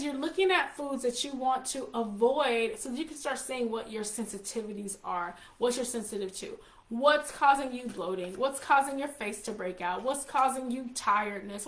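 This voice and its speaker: A woman in her forties hosting her own show in a casual, chatty way, congested with a slight cough and often repeating herself. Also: fairly high pitch. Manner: chatty, repeats and restarts phrases. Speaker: host. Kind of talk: casual live-show chat. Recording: roomy echo.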